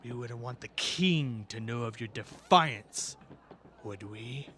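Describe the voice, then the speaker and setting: A man acting in an audio drama as a young hero posing as a pompous high priest, blustering and stumbling over his words. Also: slow pace, a bit bright, a little hiss or hum. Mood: pompous scolding.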